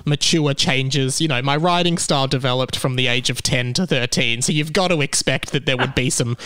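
A man's voice: mid-range voice, fairly quick, pushed and bright, clear, resonant tone, no room sound. A man hosting a podcast in casual comedic chat, with a jokey, self-deprecating manner. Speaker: podcast host.

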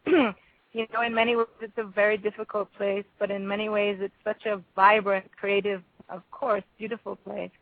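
A woman's voice: medium pitch, no echo, phone-quality sound. A woman with a Jamaican accent giving thoughtful, conversational answers as an interview guest.

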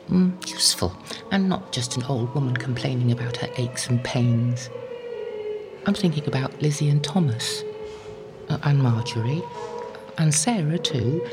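Woman acting old medieval nun type praying, low creaky voice, hushed and humble, audio drama. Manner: hushed, reflective, earnest. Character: elderly devout medieval anchoress, gentle, humble, prayerful.

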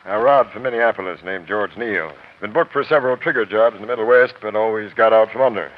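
An American man playing a brisk, shrewd police captain in 1940s radio drama, clipped and commanding. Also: lowish voice, close to an everyday voice. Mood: businesslike. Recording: muffled, noisy old radio broadcast.

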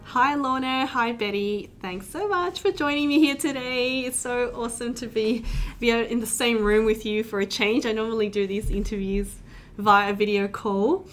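A woman's voice: a little high, normal speed, soft background music. Woman hosting a podcast. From Australia. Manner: friendly, encouraging interviewer. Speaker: podcast host. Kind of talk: podcast interview. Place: indoors, in a shared room with guests.

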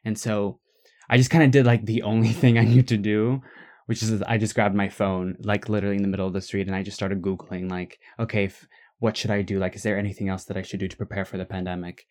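A young man in a relaxed, rambling storytelling mode, co-hosting a podcast. His voice sits fairly low. He keeps circling back and restarting his sentences, with a quiet laugh now and then, and English is not his first language. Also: quick pace. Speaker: podcast co-host. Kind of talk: casual storytelling.

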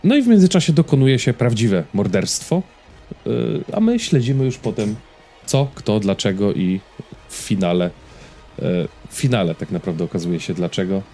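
Mellow-voiced man chatting over music. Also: lowish voice, sing-song, normal speed. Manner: hesitant, searching for words, checking in with listener. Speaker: podcast co-host reviewing films. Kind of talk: casual conversational film review.

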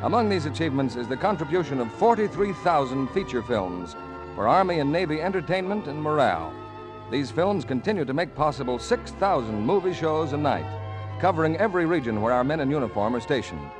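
A man reads as a 1940s radio announcer. His mid-range voice swings in pitch with polished emphasis. Music runs in the old broadcast. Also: big pitch swings, a little fast.

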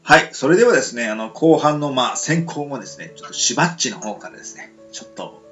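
A man's voice: mid-range voice, quick pace. Hesitant male radio host, lo-fi sound. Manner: hesitant, lots of fillers and steady back-channel nods. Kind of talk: casual radio talk. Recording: lo-fi with guitar music behind.

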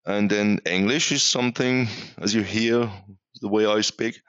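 A man in his forties from Iran with a Persian accent, talking casually and haltingly as a podcast guest, often repeating words. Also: fairly low pitch, fairly even intonation, fairly bright tone, clear, resonant tone, dry recording, muffled recording. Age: 40s. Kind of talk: casual conversational interview.

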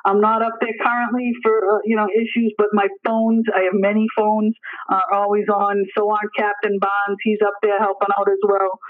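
Male emergency services leader, high voice, earnest and emphatic interview answers, narrow tinny phone-line sound. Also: monotone, moderate pace. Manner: earnest, emphatic, repetitive phrasing. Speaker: emergency dispatch deputy superintendent. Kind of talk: interview answers, informal explaining.

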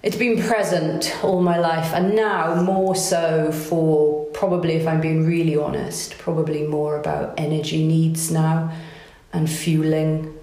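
A woman's voice: low voice, level tone, unhurried. A woman in her forties, raised in Wales, chatting in an interview as a competition organiser, with frequent restarts. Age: 40s. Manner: chatty, warm laughs, many restarts. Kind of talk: casual interview. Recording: roomy echo.

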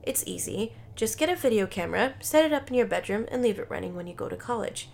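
A woman voicing an eager, supportive young female college friend in a horror story, excitable and urgent. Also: fairly high pitch, fast talker, vocal fry, voice pushed up.

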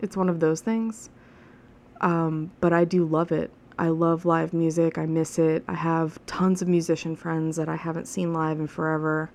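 Solo podcast host, woman, 30s; deep-set and monotone with vocal fry, chatty, frank and rambling. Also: moderate pace. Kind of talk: casual solo podcast monologue. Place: alone at home.

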